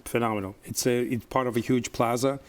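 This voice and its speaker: A man's voice: mid-range voice, steady tone, a little fast. A man who is a surgeon, chatting as a guest about a restaurant, telling it as a story with frequent fillers. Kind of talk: casual chat.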